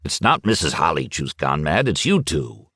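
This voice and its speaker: A man's voice: very deep voice, big pitch swings, pushed and bright, slightly rough, dry recording, studio quality, heavily acted. A man reading an audiobook and voicing a hot-tempered, scornful village husband who sneers and snaps impatiently. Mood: angry. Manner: impatient, sneering and quick to anger. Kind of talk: audiobook character voice.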